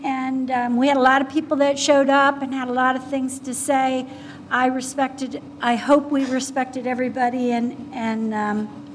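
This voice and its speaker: A woman on a school board speaking at a public meeting, soft and mousy in voice, hesitant with many fillers and restarts. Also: a little high, slight background noise. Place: meeting room.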